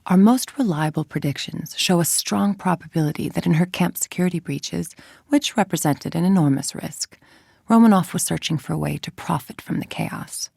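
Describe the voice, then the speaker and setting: An actress voicing a cold, calculating middle-aged villain scientist in a video game, with a clipped, controlled, authoritative and menacing delivery. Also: female voice, on the low side, normal speed, gravelly, a little mellow, husky, no echo, studio quality. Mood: cold, measured.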